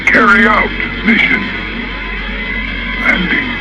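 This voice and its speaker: A man playing a clinical Martian invader in a radio drama, speaking in clipped, halting fragments. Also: over music, natural voice, low-quality recording. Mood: focused, determined. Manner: clipped telegraphic sentences without articles, halting. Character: Martian invader pilot in a landing capsule, clinical, determined.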